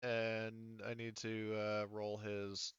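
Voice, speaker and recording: A man's voice: fairly low pitch, completely flat delivery, takes it slowly, clean tone, no room sound, lo-fi sound. A man speaking informally as a game master, narrating play and calling rules, with some swearing. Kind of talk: casual tabletop game narration and rules calls.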